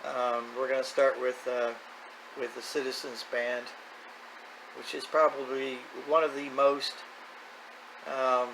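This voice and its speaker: A man's voice: mid-range voice, level tone, slow, pauses often. A middle-aged or older man talking in a casual, unscripted, plain-spoken and folksy way as the host of a hobby video. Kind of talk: casual unscripted vlog monologue. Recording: clear background noise, ambient sound.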